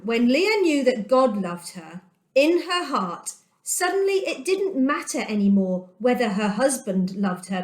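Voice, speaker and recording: A woman tells a children's Bible story in a fairly high, very animated voice. She takes her time, pausing dramatically for emphasis like someone reading aloud to young listeners. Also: echoey room.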